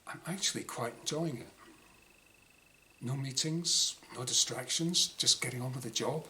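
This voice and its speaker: A man reads a first-person diary story as its author, with a bright, forward voice. The delivery is wry and exasperated, shaped like a performed monologue. Some background noise sits behind him. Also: mid-range voice, moderate pace. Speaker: author reading own short story.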